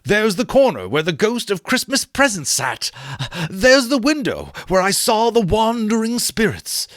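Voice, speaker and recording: A man's voice: high voice, wildly swooping pitch, measured pace, fairly bright tone, no echo, studio quality, pitched above the performer's own voice, heavily acted. A man voicing an exuberant, exclamatory old man overcome with emotion in a storybook reading. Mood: giddy joy.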